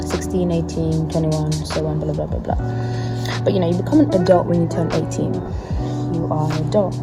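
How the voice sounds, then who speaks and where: A young woman in her early twenties speaks in a reflective, personal vlog style. Her voice sits a little low for a woman. Music plays prominently behind her throughout. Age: early 20s. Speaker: vlogger. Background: prominent background music.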